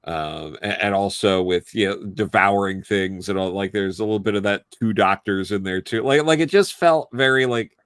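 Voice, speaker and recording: A man speaking as a podcast panelist, giving a casual, rambling and halting opinion in a review discussion. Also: lowish voice, fairly bright tone, smooth, no echo, studio quality. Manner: halting, rambling, hedging delivery.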